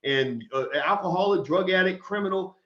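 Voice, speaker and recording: Man host, high voice, blunt heated commentary, roomy lo-fi sound. casting: outspoken show host. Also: a little fast, fairly bright tone. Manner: blunt, heated, profane, with many restarts. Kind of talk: opinionated talk show commentary. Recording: echoey room, dull lo-fi sound.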